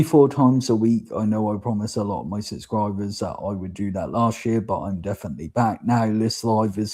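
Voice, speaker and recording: Deep-voiced man with a sore throat. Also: warm tone. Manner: measured, thanks guest at length. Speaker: YouTube channel host. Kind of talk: livestream political chat.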